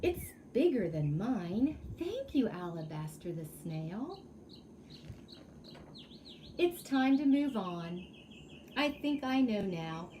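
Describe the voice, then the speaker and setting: A woman voicing an earnest, excitable young male hermit crab from a children's storybook in exclaiming, sing-song rhyme. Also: medium pitch, slow, long pauses, a little hiss or hum. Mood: delighted, proud. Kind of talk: children's storybook read-aloud.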